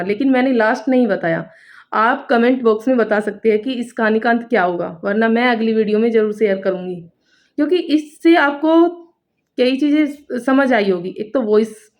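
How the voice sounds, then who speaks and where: A woman telling a story to viewers informally, repeating phrases and building suspense as a storytelling coach would. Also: on the high side. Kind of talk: spoken storytelling, explaining to viewers. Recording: dull lo-fi recording.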